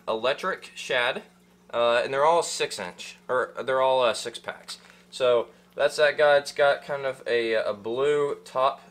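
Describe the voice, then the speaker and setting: A man's voice: medium pitch, a bit bright, slight background noise. A young man hosting a fishing channel, unboxing gear in casual chat with lots of ums and uhs, seated in a small room. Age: young adult. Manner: rambling, many ums and uhs, restarts.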